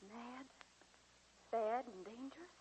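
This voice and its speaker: An actress playing an earnest, genteel young American college teacher in a 1940s radio drama, speaking crisply, on a vintage recording. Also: female voice, a little high, takes it slowly, warm tone, slight background noise, low-quality recording. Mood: wistful reminiscing.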